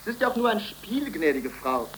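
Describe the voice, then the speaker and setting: Casting: resigned, ironic man, fairly high voice, faintly noisy vintage radio drama. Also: very animated, a little fast, a bit bright. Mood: dry irony. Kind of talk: 1930s radio acting.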